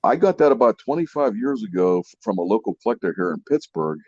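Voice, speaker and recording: Deep, husky man, mellow and muffled. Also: sing-song, fast talker, dry recording. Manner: repeats himself, checks in with listeners. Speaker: podcast co-host. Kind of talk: casual explanatory chat. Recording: muffled lo-fi audio, dry.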